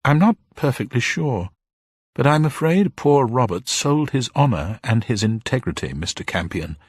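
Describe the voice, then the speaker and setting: A man's voice: mid-range voice, big pitch swings, normal speed, slightly rough, no echo, clean studio sound, voice pushed up. A man voicing a little old upper-class woman, genteel, formal, grieving and proud, in an audiobook story. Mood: solemn confiding. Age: older. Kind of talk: dramatised audiobook character voice.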